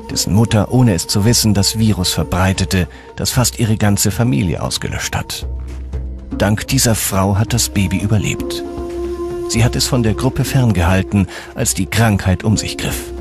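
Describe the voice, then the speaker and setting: A man reading scripted documentary narration with dramatic storytelling delivery. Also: fairly low pitch. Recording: music behind the voice. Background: background music.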